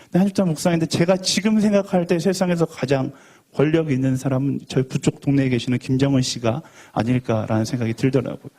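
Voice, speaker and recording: A man's voice: mid-range voice, fast talker, warm tone. A young man preaching a sermon to a college group in a conversational way, with rhetorical questions and joking asides. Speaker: youth pastor.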